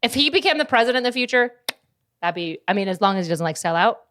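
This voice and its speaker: A young woman streamer chatting casually as she reacts to clips, animated and laughing, swearing now and then and mimicking people. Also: mid-range voice, fast talker.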